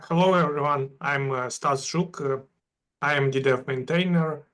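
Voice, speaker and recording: A man's voice: on the high side, normal speed, fairly bright tone. A man from Ukraine introducing himself on a video call, hesitant with many fillers. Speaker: developer, maintainer.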